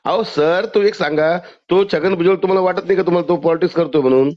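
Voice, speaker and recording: A man's voice: high voice, fast. A man with a Maharashtrian accent on a phone call, arguing insistently and cutting in. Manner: insistent, interrupting. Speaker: caller. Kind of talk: argumentative phone conversation. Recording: muffled lo-fi phone call recording.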